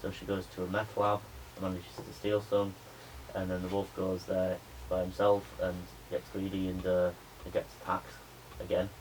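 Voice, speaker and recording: A young adult man, a media student giving a spoken pitch presentation to a class. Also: completely flat delivery, normal speed, hardly any pauses, a little mellow. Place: indoor room with a small audience. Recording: noisy room recording.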